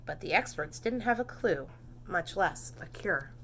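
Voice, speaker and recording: Bright-voiced woman reading a children's story. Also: fairly low pitch, measured pace. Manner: animated emphasis for young children. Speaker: teacher reading aloud. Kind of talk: expressive children's storybook narration.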